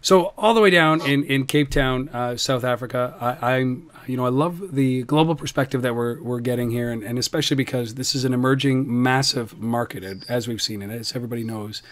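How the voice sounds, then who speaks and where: A man hosting a tech podcast, talking in a lively, energetic way. He often repeats the first words of a sentence before he settles into it. His voice sits at an ordinary middle pitch. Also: a little fast, hardly any pauses. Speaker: podcast host. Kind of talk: casual podcast chat.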